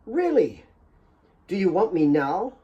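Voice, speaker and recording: A man's voice: sits high, sing-song, takes it slowly, mellow, husky, lo-fi sound, voice pushed up, exaggerated voice. A man voicing a bitter, jealous, hard woman in an audiobook, with a taunting, accusing delivery.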